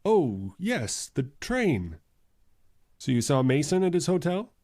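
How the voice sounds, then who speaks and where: A man's voice: on the high side, big pitch swings, slow pace, darkish tone, no echo, clean studio sound, exaggerated voice. A man playing a jealous, outwardly polite fiancé with a wry, pointed manner in an old-time radio drama. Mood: suspicious, needling.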